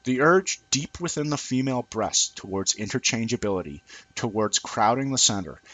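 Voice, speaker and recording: A man reading a scripted essay aloud in a deliberate, formal way with emphatic phrasing. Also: lowish voice, bright, forceful tone, husky, dry recording, rough recording. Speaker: amateur reader of a written essay.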